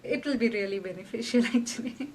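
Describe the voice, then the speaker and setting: A woman's voice: a little high, fast, darkish tone, slight background noise. A woman hosting a casual interview, asking questions hesitantly and rephrasing them as she goes. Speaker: host.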